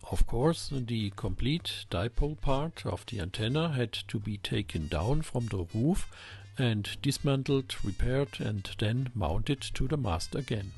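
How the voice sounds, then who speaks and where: A man narrates a scripted technical explainer in a methodical way. His pitch is average and his delivery is slow, with a noticeable gravelly fry in the voice. Soft music plays faintly underneath. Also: expressive. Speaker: host of a ham radio electronics channel.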